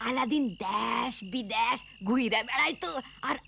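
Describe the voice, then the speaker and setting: A woman voicing a kindly old village grandmother in a cartoon, telling bedtime tales in a rural Bangladeshi accent with a creaky voice. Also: a little high, measured pace, sharp tone, slight background noise, exaggerated voice. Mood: storytelling. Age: older. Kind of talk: cartoon storytelling voice acting.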